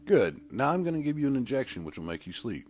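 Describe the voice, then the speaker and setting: A man performs a formal storybook doctor, clinical and reassuring as he explains things. The voice moves widely in pitch over a noisy recording. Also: very animated, quick pace, darkish tone, close to an everyday voice. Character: calm, formal male doctor who erases memories.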